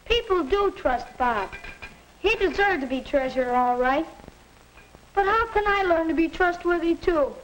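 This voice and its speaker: A man's voice: extremely high pitch, expressive, normal speed, a bit bright. A young male actor playing an earnest schoolboy son in a 1950s educational film, plain-spoken, on a crackly old soundtrack. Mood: thoughtful.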